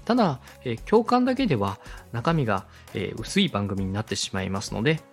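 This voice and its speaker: Animated man hosting a podcast over music. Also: mid-range voice, a little fast, breathy edge. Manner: polite, conversational, addresses the listener directly. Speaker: podcast host and radio director giving advice. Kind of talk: explanatory podcast teaching with acted example questions. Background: background music, faint.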